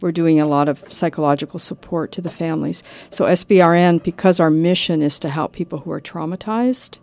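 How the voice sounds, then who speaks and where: Woman guest from Canada, earnest, slightly gravelly tone. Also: low voice, brisk, darkish tone. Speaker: guest, communications director. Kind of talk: casual interview.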